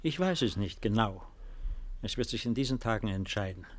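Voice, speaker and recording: Restrained, worried refugee. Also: male voice, fairly low pitch, animated, moderate pace. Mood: soothing, evasive. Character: worried, soft-spoken male refugee visiting his injured brother. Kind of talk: 1950s German radio play acting.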